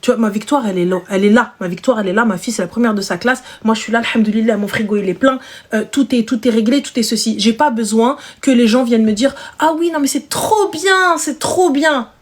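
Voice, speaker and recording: A woman's voice: on the high side, fast. A single mother hosting a live stream, chatting casually and emphatically with slangy speech and repeated phrases.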